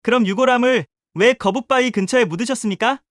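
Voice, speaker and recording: A voice actor playing a calm, formally polite veteran detective. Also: mid-range voice, fast, dry recording, studio quality, natural voice.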